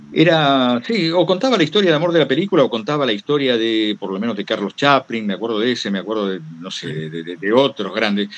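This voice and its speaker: A man with an Argentine accent talks informally to a class as a seasoned radio broadcaster. His pitch swings widely around a medium register. He rambles into anecdotes and repeats phrases to drive points home. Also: highs cut off. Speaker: workshop guest speaker, veteran radio broadcaster. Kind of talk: informal teaching talk.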